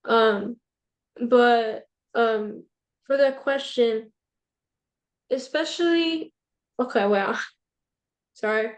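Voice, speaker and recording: A woman's voice: a little high, drawn-out words, long pauses. A young woman on a student panel answering over a video call, eager and a bit flustered, with ums. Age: young adult.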